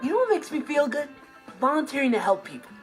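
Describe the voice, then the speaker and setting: A man's voice: very high-pitched, big pitch swings, quick pace, soft background music. An actor playing an earnest, fussy, well-meaning nerdy teenage boy explaining things in a sitcom monologue.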